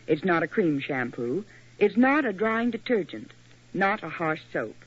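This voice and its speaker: A male co-announcer reading a 1940s radio commercial in a brisk, emphatic way. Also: sits high, sing-song, fairly bright tone, slightly rough. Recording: dull lo-fi 1940s radio broadcast.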